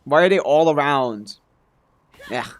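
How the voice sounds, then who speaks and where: A man's voice: a little high, expressive, unhurried. A man giving casual, offhand live stream commentary on a game, thinking aloud as he answers chat and swearing casually.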